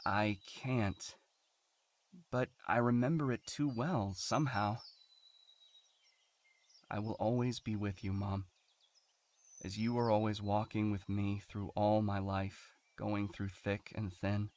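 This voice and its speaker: A slow, heartbroken grown son. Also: male voice, lowish voice, steady tone, darkish tone. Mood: sorrowful. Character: grieving adult son, devoted, vulnerable, heartbroken. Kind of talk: emotional dramatic game voice acting.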